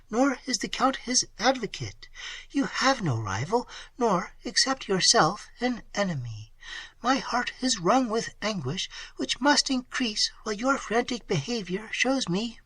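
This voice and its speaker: A man reading an audiobook voices a gentle young woman who speaks softly and tremblingly through her grief. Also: high-pitched, dry recording, voice pushed up, full character voice, rough recording. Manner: soft, hushed, trembling.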